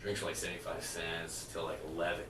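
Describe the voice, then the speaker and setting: A man's voice: deep voice, completely flat delivery, quick pace, echoey room, far from the mic, background noise. A man, hosting a podcast in loose, joking casual chat and telling stories with casual swearing. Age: 40s. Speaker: podcast host.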